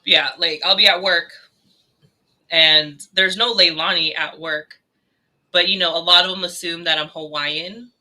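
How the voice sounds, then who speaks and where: Bright-voiced young woman telling stories. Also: lowish voice, fairly even intonation, unhurried. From California. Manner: storytelling, swears casually, mimics others in quotes. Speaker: podcast co-host. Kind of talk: casual chat.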